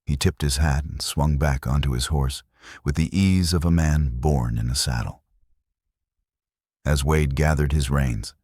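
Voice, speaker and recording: A man reading scripted western romance narration in a measured, dramatic storytelling manner, with a gravelly voice. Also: a bass voice, darkish tone, no room sound, studio quality. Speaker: audiobook narrator.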